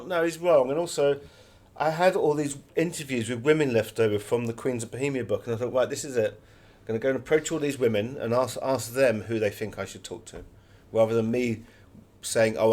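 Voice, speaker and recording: A man's voice: mid-range voice, fairly even intonation, a little fast, few pauses, a little mellow. A British man speaking as an interviewed author, telling anecdotes in a wry, chatty and emphatic way.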